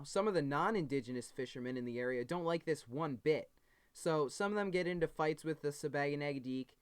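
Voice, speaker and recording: A man's voice: on the high side, clear, resonant tone. A man hosting an informal news explainer, telling the story in a casual, simplified way. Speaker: host of a homemade news explainer. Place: bedroom at a computer desk.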